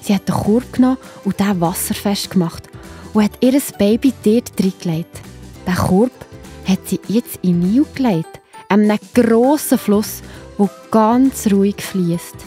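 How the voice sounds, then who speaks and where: A woman's voice: mid-range voice, measured pace, mellow, soft background music. A woman with a Swiss accent narrating a children's story in a chatty way, speaking directly to her listeners.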